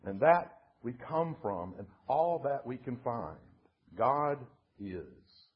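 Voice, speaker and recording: A man voicing a wise, ancient female turtle in a storybook, speaking in a solemn, gentle, declarative way. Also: mid-range voice, sing-song, slow, breathy edge, lo-fi sound, heavily acted. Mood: solemn, tender.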